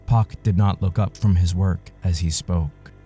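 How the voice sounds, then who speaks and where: A man narrating a scripted literary audio story in a measured, solemn manner. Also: sits low, fairly even intonation, warm tone, soft background music.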